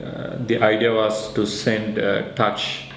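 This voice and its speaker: Low, monotone Sri Lankan man, echoey room. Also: slow, creaky. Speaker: researcher and maker presenting a project. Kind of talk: informal explanatory interview talk. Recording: echoey room with faint background noise.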